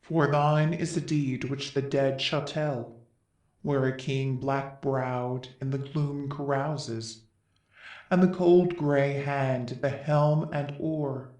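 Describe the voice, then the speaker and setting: A man's voice: mid-range voice, fairly even intonation, slow pace, darkish tone, lightly acted. A man playing a reverent, solemn chorus elder in Greek tragedy, declaiming lyrical verse. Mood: reverent, mournful. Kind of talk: classical verse drama reading. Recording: echoey room.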